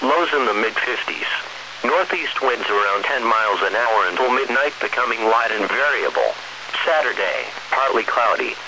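A man's automated voice reading scripted weather forecasts in a measured, impersonal way over weather radio. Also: medium pitch, expressive. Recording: noisy hissy weather radio broadcast.

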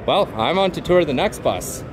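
Excitable Canadian man touring buses. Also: fairly high pitch, background noise. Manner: excited, halting, repeats bus details. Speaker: transit vlogger. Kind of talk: casual touring vlog. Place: bus display in a convention centre, indoors.

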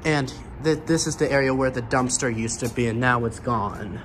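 A man's voice: mid-range voice, normal speed, a bit bright. American guy vlogging on a walk outside, rambles and repeats himself, noisy handheld audio with traffic. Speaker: vlogger touring abandoned buildings. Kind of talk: casual walking tour commentary. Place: outdoors, walking around a building and parking lot. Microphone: handheld camera or phone. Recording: outdoor handheld recording with clear ambient noise.

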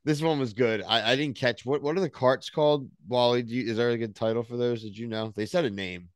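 Smooth-voiced young man on a dry mic. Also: mid-range voice, a little fast, no room sound. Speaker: co-host of a reality TV review podcast. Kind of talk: casual chat. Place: home room. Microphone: desk mic on a boom.

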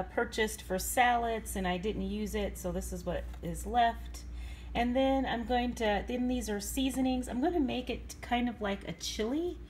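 A woman's voice: mid-range voice, poor audio. Chatty woman narrating her meal prep. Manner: chatty, explains step by step with fillers. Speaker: home cook vlogger. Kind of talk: casual cooking narration. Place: home kitchen. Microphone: handheld phone camera. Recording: faint kitchen noise, sizzling pan.

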